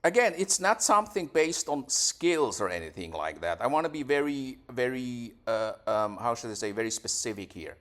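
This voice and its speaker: A man's voice: medium pitch, sing-song, normal speed, hardly any pauses, fairly bright tone. A man in his forties from Switzerland, answering questions in a casual interview, defensive and prone to repeating words and restarting sentences. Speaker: YouTuber being questioned.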